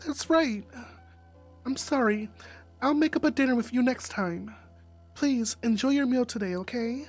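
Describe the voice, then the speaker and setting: Streamer doing a polite apologetic young lady, higher voice, visual novel heroine, eager. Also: unhurried, soft background music, close to an everyday voice. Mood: apologetic, grateful.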